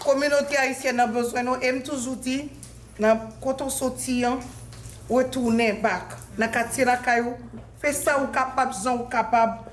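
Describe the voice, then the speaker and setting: A woman from Haiti, giving a fervent community speech with rhythmic repetition. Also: high voice. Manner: fervent, rhythmic repetition, mixes French and Creole. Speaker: community speaker. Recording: echoey hall with noise.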